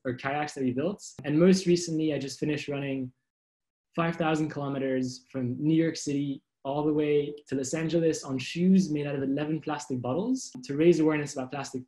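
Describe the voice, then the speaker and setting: A man in his twenties who grew up in Indonesia gives a fluent self-introduction on a video call. His voice sits a little high for a man, and he speaks as a panelist from a room at home. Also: fairly even intonation, a little fast, dark tone. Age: 20s. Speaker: panelist, environmental activist. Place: light room at home, indoors.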